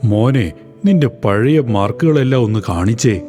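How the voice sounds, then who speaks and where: Man playing a stern grown-up, mid-pitched voice. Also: expressive, measured pace, warm tone, faint music behind, lightly acted. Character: stern grown men in a moral tale: father, principal. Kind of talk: animated children's story acting.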